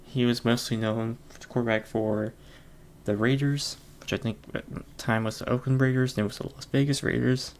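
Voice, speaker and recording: A man's voice: medium pitch, steady tone, brisk. A man hosting his own podcast alone, rambling casually with lots of fillers and frequent chuckles. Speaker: podcast host. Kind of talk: casual solo podcast chat.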